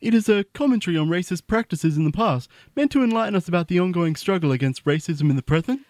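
A man's voice: high voice, expressive, quick pace, darkish tone, no room sound. A man playing an earnest, formal arts reporter conducting a mock interview in a radio comedy. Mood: earnest, puzzled. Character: earnest, probing male arts reporter with pompous name.

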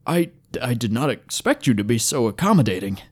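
A man voicing a wounded male elf with a hoarse, weak voice and formal, halting, courteous speech in a fantasy audiobook. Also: medium pitch, very animated, a little mellow. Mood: surprised, wary.

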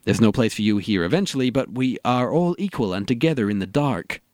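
A dry, close-sounding actor as a gloomy philosopher in a comedy audio drama. He muses in a deliberate, morbid way with a pitch that moves around a lot, and he can be bluntly tactless. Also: lively intonation, a little mellow, no room sound, close to an everyday voice. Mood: morbid, musing.

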